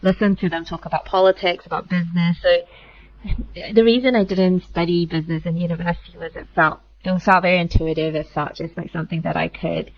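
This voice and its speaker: Woman, 30s, from Malaysia, founder guest; lowish voice, chatty with filler asides, slightly dull recording. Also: steady tone. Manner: chatty, quick asides with frequent "like" and "kinda". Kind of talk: casual interview talk.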